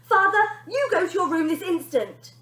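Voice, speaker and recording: A woman voicing a bossy, shrill young girl who shouts orders and throws tantrums in a storybook reading. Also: animated, moderate pace. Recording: echoey room.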